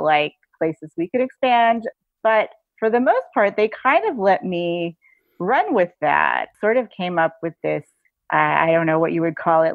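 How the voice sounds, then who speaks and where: A woman's voice: fairly low pitch, no room sound. A woman giving a casual, chatty interview as a guest author, in a giggly manner. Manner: chatty, giggly asides mid-sentence. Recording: thin phone-like call audio.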